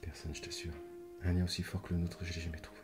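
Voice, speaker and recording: A man playing a middle-aged hospital doctor still wounded by an old breakup, in a hushed ASMR roleplay. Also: deep voice, flat delivery, fast talker, over music, lightly acted. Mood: tender, earnest. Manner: hushed, whispery speech. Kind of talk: French ASMR roleplay acting.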